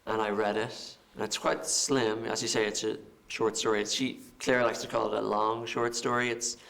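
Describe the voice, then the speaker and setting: Irish man, low and gravelly, flat delivery, measured, halting interview speech. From Ireland. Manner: halting, repeats words while thinking. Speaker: film director. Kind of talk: interview answers.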